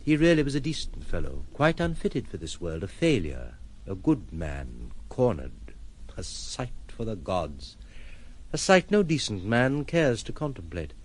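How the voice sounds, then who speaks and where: An actor in an audio drama playing a detached, world-weary gentleman who speaks in eloquent, formal, ironic phrases. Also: fairly low pitch, sing-song, a little slow. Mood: wry, reflective. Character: wry, detached gentleman recluse, playfully philosophical and world-weary.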